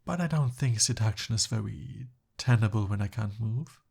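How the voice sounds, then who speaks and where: A man's voice: on the low side, expressive, moderate pace, vocal fry, darkish tone, slightly rough, exaggerated voice. A man playing a pompous young human prince in an ASMR roleplay, speaking formally but flustered and pleading. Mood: pompous, scheming.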